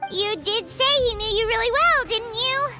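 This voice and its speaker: Super high squeaky little fairy sidekick, excitable, bouncy pitch, anime game with loud music. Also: female voice, normal speed. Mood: puzzled. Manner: exclamatory, refers to self in third person. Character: tiny childlike excitable female fairy companion. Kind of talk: scripted anime-style game dialogue.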